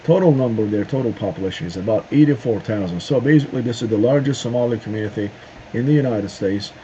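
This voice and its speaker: A middle-aged man speaks in a low, soft-edged voice, addressing his viewers in a halting, searching way full of "um" and "uh". The recording is dull and lo-fi. A faint hiss sits underneath. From Turkey. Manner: halting, searching for words with frequent "uh" and "um" pauses. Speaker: video host. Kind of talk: casual opinion monologue to camera. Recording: dull lo-fi sound with faint noise.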